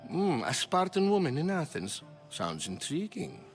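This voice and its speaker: A vain, theatrical Greek playwright. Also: male voice, on the high side, sing-song, unhurried, faint music behind. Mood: wry. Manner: self-mocking, grandiloquent, witty. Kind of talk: video game cutscene acting.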